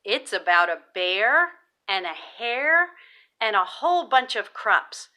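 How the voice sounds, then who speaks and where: A woman's voice: fairly high pitch, big pitch swings, slow, pushed and bright. A woman giving a scripted introduction to a children's read-aloud as its presenter.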